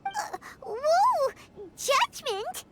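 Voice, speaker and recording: A woman voicing a tiny, chirpy, childlike floating girl companion in a video game, squeaky and excitable. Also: extremely slow, very noisy, full character voice. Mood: alarmed. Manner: excitable exclamations, refers to herself by name.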